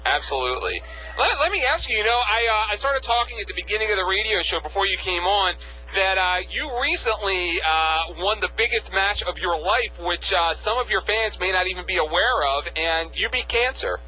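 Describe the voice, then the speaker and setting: A man's voice: high voice, expressive, normal speed, pushed and bright, slight background noise, low-quality recording. A man hosting a radio interview, asking long, conversational questions. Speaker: radio show host.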